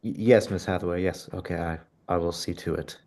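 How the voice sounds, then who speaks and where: A man's voice: low voice, fairly even intonation, brisk, gravelly, darkish tone. A man playing an eager, helpful office employee in a script table read. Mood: nervous, deferential.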